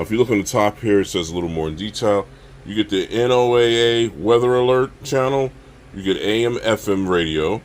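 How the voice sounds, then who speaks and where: A man hosting a casual, unscripted gear review, talking straight to viewers and often checking in with a quick okay or all right. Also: on the low side, a little hiss or hum. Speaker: survival channel host reviewing gear.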